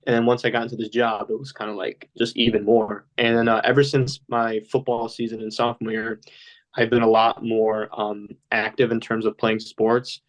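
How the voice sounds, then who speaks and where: A man's voice: lowish voice, little pitch movement, moderate pace, poor audio. Casting note: young male guest, Polish-speaking home, loose rambling chat, lots of fillers. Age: young adult. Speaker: podcast guest. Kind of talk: casual interview talk.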